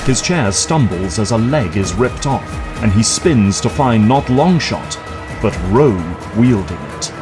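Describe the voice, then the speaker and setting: A man's voice: on the low side, lively intonation, measured pace, over loud music. A man reading scripted, dramatic scene narration for an audio drama.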